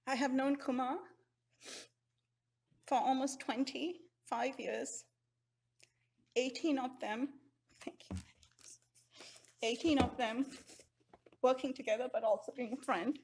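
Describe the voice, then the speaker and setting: A woman's voice: high voice, fairly even intonation, slow pace, long pauses, a little mellow, slight background noise. A woman from Sri Lanka delivering a heartfelt, grieving eulogy. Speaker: eulogy speaker. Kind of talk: memorial eulogy.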